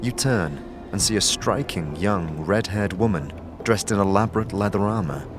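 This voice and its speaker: A man's voice: on the low side, lively intonation, normal speed, over music. A man narrating a fantasy tale in the second person as dramatic audio storytelling.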